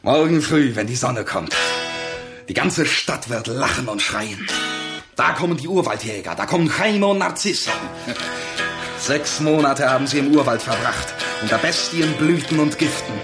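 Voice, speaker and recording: Actor as an earthy young jungle hunter over guitar. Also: male voice, mid-range voice, expressive, normal speed, natural voice. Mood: dreamy longing. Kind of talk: German radio play acting.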